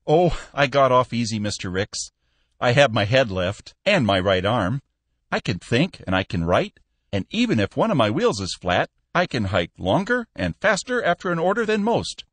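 A man's voice: mid-range voice, big pitch swings, moderate pace, fairly bright tone, no room sound, voice pushed up. A man reading an audiobook, voicing a cheerful young male war veteran looking for a job, earnest and upbeat.

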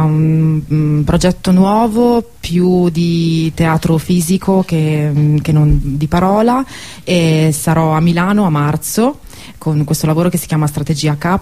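A woman's voice: deep voice, a little slow, dark tone. A woman speaking as a guest in a radio interview, reflective and somewhat hesitant as she works out her thoughts. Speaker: stage actress, guest. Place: radio studio.

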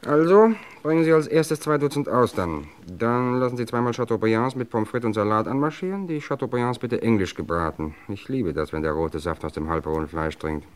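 An actor playing a cold, menacing gangster boss with a suave, domineering manner, in an old German crime radio play. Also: male voice, mid-range voice, a little fast, hardly any pauses, close to an everyday voice. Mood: suave, relaxed.

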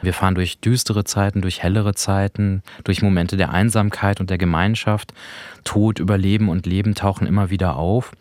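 A man's voice: low voice, steady tone, moderate pace, darkish tone, dry recording. A male podcast host talking reflectively about radio drama in a thoughtful, explanatory way.

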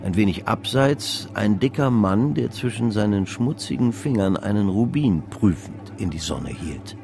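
An actor narrating a literary radio play as a measured, all-knowing storyteller of an old stock exchange tale. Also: male voice, on the low side, expressive, creaky, a little mellow. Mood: measured, vivid. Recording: music behind the voice. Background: music.

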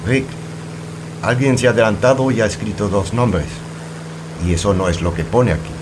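A man's voice: fairly low pitch, normal speed, close to an everyday voice. A man playing a suave, sardonic French official in a radio play, speaking wryly. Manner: wry, sprinkles French exclamations. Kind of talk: radio theatre acting. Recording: clear ambient engine noise.

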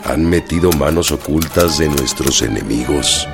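Un actor que interpreta en una radionovela a un orgulloso y receloso general revolucionario mexicano preso, con acento mexicano y voz velada. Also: male voice, a bass voice, a little slow, music in the background. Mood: wary. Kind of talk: radio drama acting.

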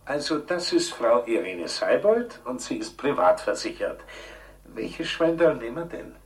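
Folksy southern German quiz host, swooping pitch. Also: male voice, big pitch swings, measured pace, distant mic. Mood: chummy, breezy. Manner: folksy, chummy, rambling patter. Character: genial, chatty male TV quiz show host. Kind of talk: satirical radio play acting.

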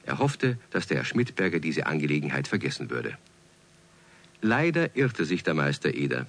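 A man playing the storyteller of a children's radio play, reading scripted narration in an expressive, genial way. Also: low-pitched, full character voice.

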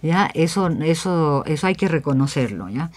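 A woman's voice: low-pitched, lively intonation, moderate pace, darkish tone. A woman from Chile, explaining her ideas in a radio discussion and often adding short check-in tags. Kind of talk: reflective discussion in a radio interview.

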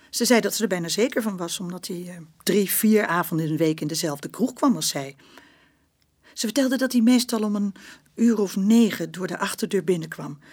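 An actress playing a bitter, stubborn working-class mother giving a confession in a Dutch radio play, speaking colloquially and haltingly. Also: medium pitch, a little mellow, husky, close to an everyday voice.

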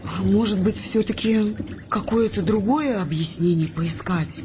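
An actress playing a hurt, tender wife in a Russian audio play, speaking in a halting, intimate, emotional way. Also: female voice, medium pitch, background noise, close to an everyday voice. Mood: tender, hesitant. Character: Irka, hurt, tender wife of a frightened scientist. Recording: muffled audio play with music behind.

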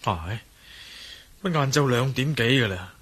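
An actor playing a troubled, suspicious adventurer-investigator in a Hong Kong Cantonese radio drama, speaking conversationally with sighs. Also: male voice, mid-range voice, lively intonation, measured pace, a bit bright, slightly rough. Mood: groggy, exasperated. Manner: sighing, conversational.

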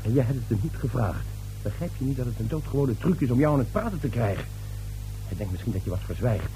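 A man's voice: medium pitch, lively intonation, fast, dark tone, faint music behind, natural voice, poor audio. An actor in a radio play playing an irritable husband who is impatient and scoffing with his wife. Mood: irritated, dismissive. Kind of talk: radio drama acting.

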